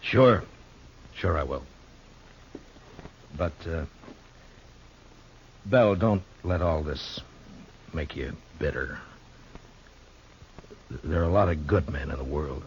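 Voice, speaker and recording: An actor playing a stoic, plain-spoken frontier marshal in a radio western, laconic and sometimes halting. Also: male voice, a bass voice, slight background noise. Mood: awkward, gentle. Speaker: lead actor and narrator. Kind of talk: 1950s radio western acting. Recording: dull old radio recording with music.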